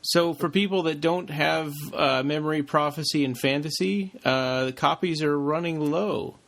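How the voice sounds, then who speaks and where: Slightly high-pitched man, casual and halting. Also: gravelly, fairly bright tone. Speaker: podcast co-host. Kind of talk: casual podcast chat.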